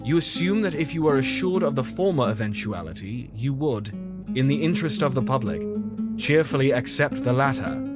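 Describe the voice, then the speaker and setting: An actor playing a fussy, caring Victorian gentleman doctor in an audio drama, with earnest, old-fashioned formal speech. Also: mid-range voice, animated, a little fast, over loud music, natural voice. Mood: grave, troubled.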